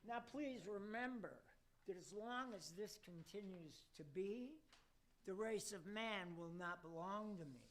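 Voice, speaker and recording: A man's voice: sits high, unhurried, a bit bright. A man playing a pompous devil who addresses demons in a formal, oratorical way in an amateur radio play. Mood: stern, pompous. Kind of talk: amateur radio theater acting.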